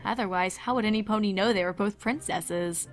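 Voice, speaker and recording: A woman with a mid-pitched voice narrates a fan fiction story expressively. Soft music runs behind her reading. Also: a little fast.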